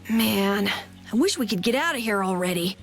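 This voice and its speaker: A woman voicing an excitable, plain-spoken young boy in a video game, impatient in delivery. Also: medium pitch, normal speed, creaky, faint music behind. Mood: whiny. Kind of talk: English video game voice acting.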